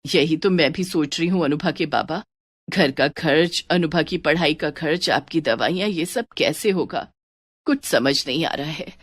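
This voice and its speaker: A woman's voice: low voice, normal speed, dry recording. A woman voicing a worried middle-aged mother and wife in a family story, anxious and weary.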